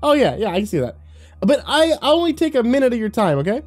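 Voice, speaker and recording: A man's voice: very high voice, sing-song, fast, faint music behind, pitched above the performer's own voice, exaggerated voice. A man voicing a cocky teenage boy who mocks and rambles. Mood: snide. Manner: mocking, laughing, rambling.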